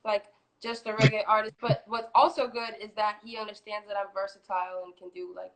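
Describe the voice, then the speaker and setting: A woman in her twenties from Florida, a singer answering interview questions in a relaxed, chatty way with many fillers. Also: mid-range voice, fairly quick, a little hiss or hum, poor audio. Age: 20s. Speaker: guest, singer. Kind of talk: casual interview.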